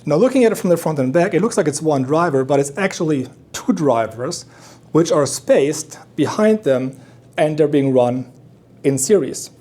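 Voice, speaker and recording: Mellow man presenting a product. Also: on the high side, lively intonation, normal speed. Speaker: loudspeaker company representative giving a product demonstration. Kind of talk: explanatory product presentation at an audio show.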